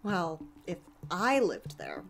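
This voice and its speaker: A woman's voice: wildly swooping pitch, takes it slowly, gravelly, full character voice. A woman playing a chatty, enthusiastic community center director in an audio drama, talking expansively and persuasively. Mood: eager, persuasive. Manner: expansive, persuasive, eager to pitch ideas.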